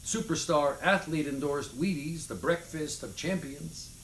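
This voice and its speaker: A man's voice: on the high side, a little slow, fairly bright tone. Man reading aloud in an echoey room. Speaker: reader of a memoir chapter. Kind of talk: expressive live reading of humorous memoir prose. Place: indoors in a city apartment. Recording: echoey room, faint background noise.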